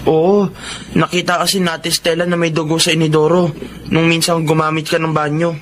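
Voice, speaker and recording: Aktor bilang batang kapatid na Pilipino, mataas ang boses, may ingay. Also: male voice, fairly high pitch, little pitch movement, a little fast, slight background noise, natural voice. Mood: concerned. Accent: Filipino. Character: earnest, outspoken young Filipino younger brother. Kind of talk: radio drama acting.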